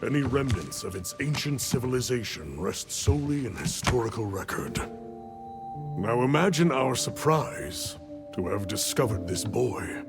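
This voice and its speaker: Casting: composed authoritative commander in a game cinematic, mid-pitched, formal, deliberate delivery, over clear music. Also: male voice, lively intonation. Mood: grave, measured. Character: composed, authoritative male guild superior giving orders. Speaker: guild official briefing a hunter. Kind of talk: cinematic game cutscene briefing.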